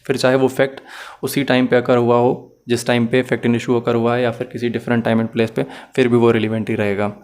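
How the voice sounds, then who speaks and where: A man's voice: mid-range voice, little pitch movement, fairly quick, darkish tone. A man giving a methodical, explanatory lesson as a law lecturer, mixing in English phrases.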